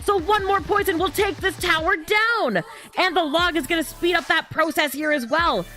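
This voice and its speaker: A woman's voice: very high voice, moderate pace, sharp tone. Woman, 30s, esports caster, live stream commentary, chatty, faint background music. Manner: chatty, laughs often, frequent "um" fillers.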